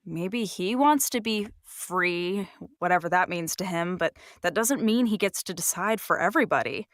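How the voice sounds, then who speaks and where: A woman's voice: lowish voice, moderate pace, dry recording, clean studio sound, close to an everyday voice. A woman playing a sassy, contented human attendant in an audio drama, casual and blunt in her talk. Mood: frank, sassy.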